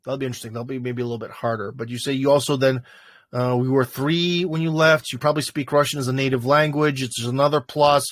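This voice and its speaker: Male livestream host, middle-pitched, loose and rambling, dead dry sound. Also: steady tone, fast, no echo. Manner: rambling, filler-heavy, thinking aloud. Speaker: livestream host answering viewer questions. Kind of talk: casual livestream chat.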